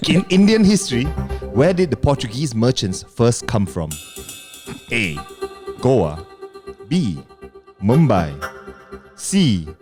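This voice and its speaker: Teasing Malaysian-accented co-host. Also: male voice, mid-range voice, very animated, slow, long pauses, over music. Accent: Malaysian English. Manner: teasing, crude, jokey. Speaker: podcast co-host. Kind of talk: casual podcast banter.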